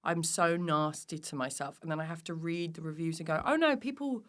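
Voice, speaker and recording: A woman comedian chatting casually as a podcast guest, animated, self-deprecating and happy to swear. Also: low-pitched, fairly quick. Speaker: guest comedian and physical performer.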